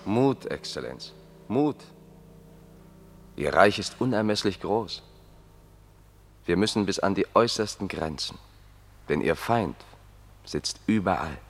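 A guide to an Excellency in a radio play. His voice is very deep and measured. He is deferential yet watchful, painting each scene in careful detail. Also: animated, exaggerated voice. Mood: encouraging, measured. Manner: measured, deferential, vividly descriptive. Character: attentive male guide and attendant to a blind dignitary. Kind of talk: German radio play acting.

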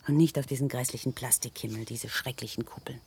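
A woman's voice: a bass voice, fairly even intonation, fast talker, darkish tone, slight background noise. Dreamy, whispering woman in radio drama. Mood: dreamy, wistful. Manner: breathy half-whispers, wistful. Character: dreamy, anxious woman in a futuristic paradise park. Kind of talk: German science-fiction radio play acting.

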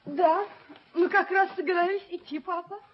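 A woman's voice: very high-pitched, normal speed, a little hiss or hum. A woman playing a coy, insistent young lady set on marriage in a radio drama, with a coquettish, coaxing manner. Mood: earnest, bright. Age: young adult. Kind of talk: Soviet radio drama acting. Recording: dull vintage radio-play tape with music.

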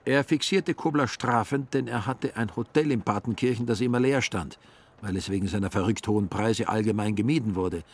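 A man's voice: on the low side, brisk, husky. A man narrating a literary radio play as a scripted story.

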